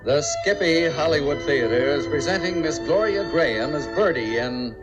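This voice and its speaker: A man's voice: on the high side, animated, moderate pace. A man announcing formally as a 1950s radio drama announcer. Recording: muffled old radio, music behind.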